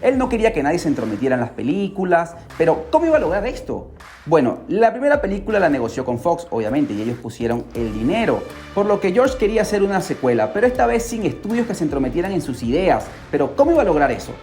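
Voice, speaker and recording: A man's voice: on the high side, very animated, quick pace, a little mellow. A man hosting a podcast, telling a story casually and animatedly, with rhetorical questions and asides to the listeners. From Peru. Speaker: podcast host. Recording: music behind the voice.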